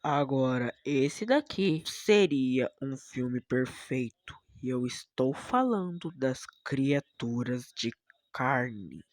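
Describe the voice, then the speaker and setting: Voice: medium pitch, animated, slow, dry recording. A Brazilian Portuguese speaker hosting a video essay, with dramatic, emphatic commentary that often poses rhetorical questions. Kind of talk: enthusiastic video commentary with scripted narration.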